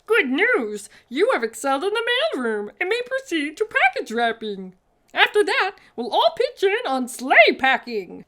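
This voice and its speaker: A woman's voice: high voice, huge pitch swings, measured pace, a bit bright, voice pushed up, full character voice. A woman voicing a fussy, anxious male elf from a children's storybook, with a fretful, earnest way of talking. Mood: chirpy. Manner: fretful, reciting rules earnestly.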